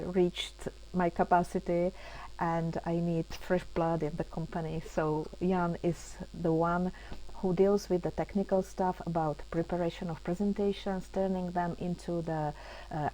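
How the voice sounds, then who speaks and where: A woman hosting an online webinar in English, speaking haltingly with many fillers and restarts over a computer mic on a video call. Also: on the low side, mellow, poor audio. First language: Czech. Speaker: webinar host and project coordinator. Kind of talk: hesitant opening of an online webinar.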